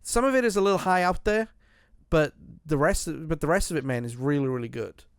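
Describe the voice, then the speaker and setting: Smooth, dry-sounding podcast host man. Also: on the high side, fairly quick, no echo. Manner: rambling, many restarts. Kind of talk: casual music chat.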